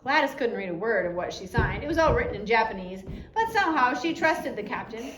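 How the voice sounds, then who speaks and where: Lady reading an audiobook story, kinda high voice, expressive, echoey room. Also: a little fast, crowd noise. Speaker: audiobook narrator, reader. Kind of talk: scripted storytelling read aloud from a biography. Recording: roomy echo with faint background noise.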